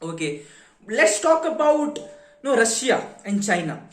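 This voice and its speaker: High-pitched Indian man lecturing in echoey room. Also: sing-song, a little slow. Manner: poses questions and answers them, emphatic. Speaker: educator presenting a finance explainer. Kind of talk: informal explanatory lecture. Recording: roomy, echoing sound.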